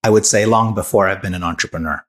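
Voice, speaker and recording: Deliberate man from Hungary, low voice. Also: a bit bright. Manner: thoughtful, many restarts and fillers. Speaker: startup founder. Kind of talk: podcast interview answers.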